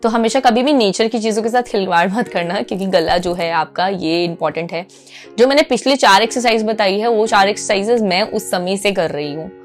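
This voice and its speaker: A woman's voice: medium pitch, a little fast, faint music behind. A woman with an Indian accent gives informal instruction to viewers, emphatic, repeating key phrases, checking in often and switching between two languages. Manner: mixes Hindi with English phrases. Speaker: anchor giving voice coaching.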